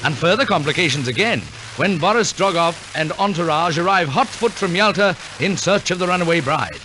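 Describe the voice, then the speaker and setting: A man's voice: fairly high pitch, lively intonation, a little fast, sharp tone, a little hiss or hum, rough recording. A man narrating a scripted story in the formal, theatrical style of a 1940s radio announcer, on a vintage recording. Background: orchestral music.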